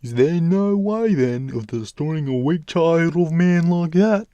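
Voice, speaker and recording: A man voicing a big, scheming, bullying storybook giant with a booming, drawn-out, forceful delivery. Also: lively intonation, dry recording, dull audio. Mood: angry, frustrated. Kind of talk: storybook character voice.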